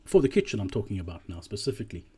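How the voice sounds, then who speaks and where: A man giving an explanatory business presentation as a voice-over, speaking deliberately and emphatically and repeating key phrases, with a slightly husky voice. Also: fairly low pitch, sing-song, darkish tone. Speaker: presenter analysing drive-through performance.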